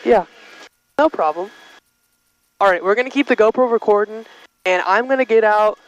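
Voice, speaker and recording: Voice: mid-range voice, moderate pace, lo-fi sound. A person chatting casually as a vlogger and passenger, recorded through a small plane's intercom. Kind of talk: casual chat to camera and pilot. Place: inside a small airplane cockpit. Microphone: GoPro with cable into the aviation intercom headset. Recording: noisy small-plane cockpit intercom audio. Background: aircraft engine noise.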